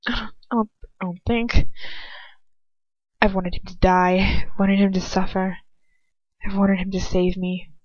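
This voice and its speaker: A woman voicing a conflicted, desperate young heroine in romantic fan fiction, hesitant and pleading, often hushed. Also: mid-range voice, flat delivery, unhurried, pauses often, no echo, lightly acted. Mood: torn, pleading. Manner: breathy whispering, hesitant, pleading. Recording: dull lo-fi home recording.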